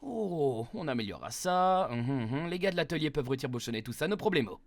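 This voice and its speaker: Man doing a slick, animated cartoon salesman. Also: a little high, fast talker, no echo. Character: smooth-talking, pushy cartoon mount salesman. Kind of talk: animated comedy fandub.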